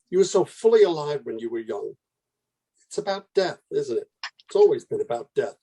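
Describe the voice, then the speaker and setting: Husky, anguished man pleading in earnest acted. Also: on the high side, mellow, low-quality recording. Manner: pleading, intense, argumentative. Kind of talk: radio play acting.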